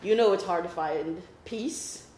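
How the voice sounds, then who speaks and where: A young woman from Kenya raised in Kansas City, chatting casually as a guest, relaxed with lots of fillers. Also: on the low side, measured pace, reverberant, slight background noise. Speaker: photographer guest. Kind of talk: casual interview chat.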